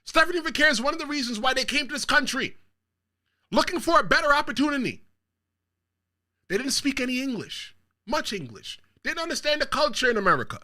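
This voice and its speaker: Man, from the Bronx, wrestling show host, high voice, very lively delivery, emphatic hot takes. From the Bronx, New York. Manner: talks straight to viewers. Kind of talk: solo wrestling commentary show.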